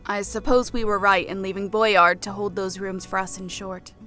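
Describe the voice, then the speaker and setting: A woman playing a cheerful, eager, excitable Fae woman in an audiobook. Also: mid-range voice, normal speed, faint music behind, natural voice. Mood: polite, earnest. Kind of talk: audiobook character acting.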